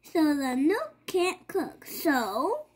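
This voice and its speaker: A child reads a picture book aloud in a high voice. The pace is extremely slow, and the pitch moves up and down in a lively way. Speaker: child reader.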